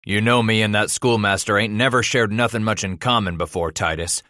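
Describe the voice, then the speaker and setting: A man voicing an angry, domineering frontier father in an audiobook, with gruff, stern delivery and rustic, folksy speech. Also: lowish voice, moderate pace, pushed and bright, no room sound, studio quality. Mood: angry, accusing.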